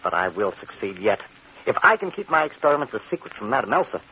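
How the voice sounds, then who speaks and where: An actor playing a young, ambitious, ruthless scientist with intense, melodramatic 1940s radio delivery. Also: male voice, on the low side, very animated, fast talker, pushed and bright, husky, exaggerated voice. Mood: eager, intense. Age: young adult. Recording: muffled vintage 1940s radio recording.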